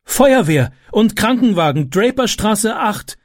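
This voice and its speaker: A determined mother, played by a male reader, giving brisk orders in an audiobook. The pitch is raised and the delivery urgent and clipped. It is recorded dry and close. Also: animated, no echo, exaggerated voice. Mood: urgent, commanding. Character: determined, brisk mother taking command in an emergency. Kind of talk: acted audiobook dialogue.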